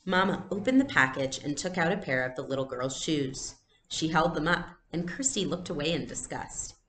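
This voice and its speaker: A woman reading a storybook aloud as a teacher, in an expressive style with explanations. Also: low voice, normal speed, reverberant, slight background noise.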